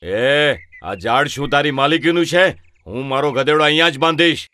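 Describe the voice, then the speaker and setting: A stubborn, argumentative villager in a cartoon who defiantly demands his due. His voice sits a little high and sounds dry and close. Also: moderate pace, pushed and bright, clear, resonant tone, dry recording. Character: stubborn quarrelsome male donkey owner. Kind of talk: cartoon storybook dub.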